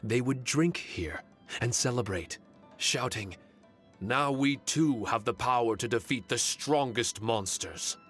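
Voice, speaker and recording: A man acting an earnest, courteous amnesiac in a video game cutscene, speaking in a measured, reflective way. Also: medium pitch, animated, fairly bright tone, soft background music. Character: earnest, thoughtful male amnesiac, mild and courteous.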